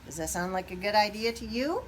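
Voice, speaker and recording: Animated woman reading a children's story. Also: medium pitch, a little fast, recorded from a distance, a little hiss or hum. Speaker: storybook reader. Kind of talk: expressive read-aloud for children, asking listeners questions.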